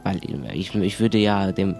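A man with a slightly low voice improvises in an adventure audio play. He is conversational and hesitant, often searching for his words, with faint music underneath. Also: flat delivery, unhurried, darkish tone.

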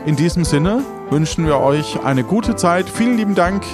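A man hosting an audio play as game master, talking casually and informally with halting phrasing. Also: on the high side, expressive. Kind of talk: casual podcast talk and narration. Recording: music behind the voice.

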